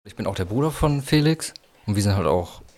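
A man in his thirties, a best man, speaking haltingly while searching for words. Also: on the low side, animated, darkish tone. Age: 30s.

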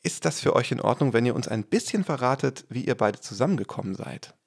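A man, a pastor, hosting a church podcast and interviewing guests in a friendly, measured way. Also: medium pitch, lively intonation, gravelly, slightly rough. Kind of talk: scripted podcast intro and interview questions.

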